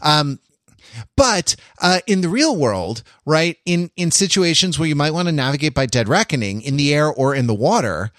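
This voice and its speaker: A man hosting a casual discussion podcast, explaining things at length in a halting, backtracking, wry way. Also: on the high side, big pitch swings, fairly bright tone, no room sound, clean studio sound. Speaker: podcast host.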